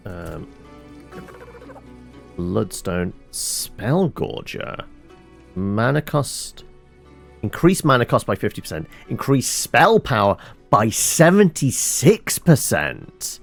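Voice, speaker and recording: A man with a low, gravelly voice talks through his gameplay in a relaxed, offhand way. His pitch swings widely and lively as he thinks aloud and mutters to himself, with faint music underneath. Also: slow pace, long pauses. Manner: muttering thoughts aloud while playing, casual swearing. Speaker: gaming channel host. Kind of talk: casual let's-play commentary.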